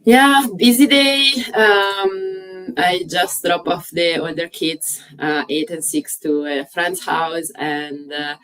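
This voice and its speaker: A woman in her thirties from Italy with an Italian accent, a fitness coach talking as an interview guest, pausing often on "uh" and using slightly non-native phrasing. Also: lowish voice, animated, fairly bright tone, poor audio. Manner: frequent "uh" pauses, slightly non-native grammar. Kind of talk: casual interview chat.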